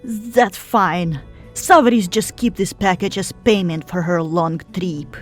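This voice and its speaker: A woman's voice: lowish voice, takes it slowly. A woman voicing a flirtatious, short-tempered harpy queen for an audiobook, purring in broken English. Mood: angry, indignant. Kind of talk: fan audiobook character acting. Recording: background music under the voice.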